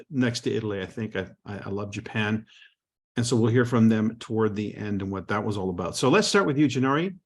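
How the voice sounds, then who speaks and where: A man hosting a team call over a remote line, explaining ranks and encouraging new members with frequent restarts. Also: fairly low pitch. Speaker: host of a sales team call.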